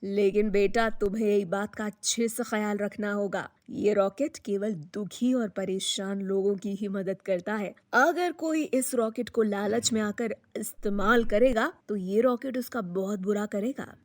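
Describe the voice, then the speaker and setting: A woman puts on a kindly old male sage from a magical storybook. The pitch drops well down and the delivery is slow and solemn, in a dry recording. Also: dry recording, close to an everyday voice.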